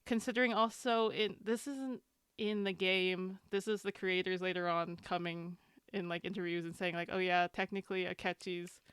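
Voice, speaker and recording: A woman chatting casually, retelling things in a halting, filler-heavy way with small laughs. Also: lowish voice, flat delivery, a bit bright, smooth, no room sound, studio quality. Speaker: podcast panelist. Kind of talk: casual chat.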